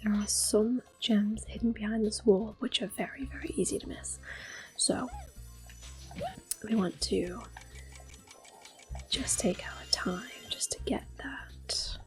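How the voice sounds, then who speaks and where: Woman, hushed and breathy, medium pitch, ASMR gaming host, gentle unhurried delivery, music playing behind. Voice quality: soft, breathy, whispery. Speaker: gameplay video host. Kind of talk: soft-spoken ASMR game commentary with whispering.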